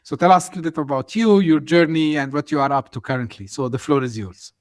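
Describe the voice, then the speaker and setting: A man's voice: fairly high pitch, fast talker, no room sound, clean studio sound. A man hosting an interview podcast, asking long, hesitant questions full of fillers. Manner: hesitant, many "you know" fillers. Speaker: podcast host.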